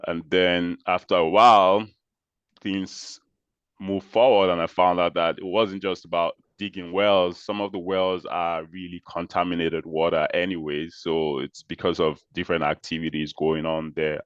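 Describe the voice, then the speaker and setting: A man from Nigeria, a guest in an interview, explaining his work in a measured way with pauses and restarts. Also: low voice, little pitch movement, smooth, no echo, lo-fi sound. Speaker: interview guest, social entrepreneur. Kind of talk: conversational interview answers.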